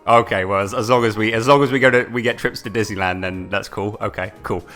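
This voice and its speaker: A young British man co-hosting a casual live gaming stream, chatty and quick to laugh, often repeating words. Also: fairly low pitch, steady tone, a bit bright, faint music behind. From United Kingdom. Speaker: co-host.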